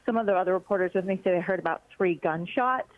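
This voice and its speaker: A woman's voice: lowish voice, quick pace, no room sound. Woman reporting over a muffled phone line. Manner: hesitant, many filler words, recounting events. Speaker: congressional reporter. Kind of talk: live phone report on breaking news.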